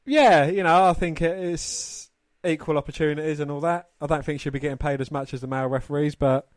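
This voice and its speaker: A man co-hosting a casual sports podcast, talking in a halting way and stumbling over his words. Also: a little high, fairly even intonation, no room sound, clean studio sound. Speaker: podcast co-host.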